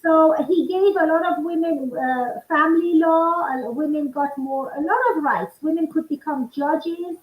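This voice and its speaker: A woman in her sixties from Iran speaks high and bright in pitch, explaining things in a measured, patient way. Her voice rings in a roomy space, and the video call audio sounds dull and muffled. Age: 60s. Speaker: author and guest. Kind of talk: interview answers.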